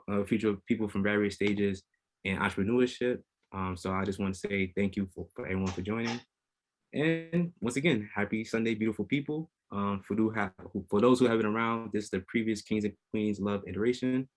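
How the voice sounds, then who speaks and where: Male panel host, somewhat low voice, hesitant delivery with frequent fillers. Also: fairly quick, a little hiss or hum. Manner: hesitant, full of ums. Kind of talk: hosting an online panel.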